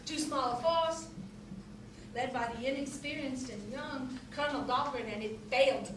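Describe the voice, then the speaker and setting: A woman's voice: high voice, normal speed, lightly acted. An actress playing a spirited Southern woman spymaster of the Civil War in a stage play, telling her story with wry drama. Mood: wry, frustrated. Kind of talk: theatrical stage monologue and dialogue. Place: theatre stage. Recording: distant, echoey stage recording with noise.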